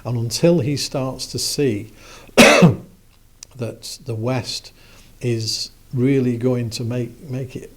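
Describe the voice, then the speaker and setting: A man in his fifties giving a measured news interview answer in an office. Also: medium pitch, fairly even intonation, darkish tone. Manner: measured, with restarts. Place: office indoors.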